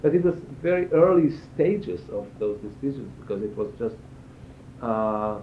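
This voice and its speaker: Halting older man, echoey tinny recording. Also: lively intonation. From Israel. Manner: halting, searching for words with repeated phrases. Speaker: interviewee, cello teacher and performer. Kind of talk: reflective interview answers. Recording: echoey, tinny band-limited sound with faint rumble.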